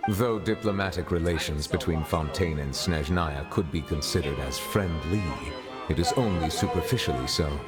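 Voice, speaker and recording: A very low male voice of an actor gives life to a courteous chief justice from a video game. He is formal and guarded, placing every phrase with measured precision while music plays prominently behind him. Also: natural voice. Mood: grave, cautious.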